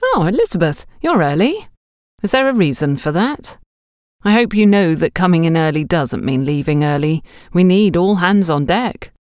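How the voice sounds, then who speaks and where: A woman voicing a brisk, disapproving female shop worker in an audiobook, curt and bossy. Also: lowish voice, big pitch swings, darkish tone, dry recording, clean studio sound.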